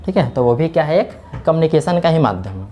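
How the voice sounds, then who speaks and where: A man's voice: a little high, animated, brisk, mellow, slight background noise. A man teaching a class in speech, explaining step by step and answering his own questions. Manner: explaining step by step, keeps saying "theek hai"; asks questions and answers them himself. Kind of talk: classroom lecture.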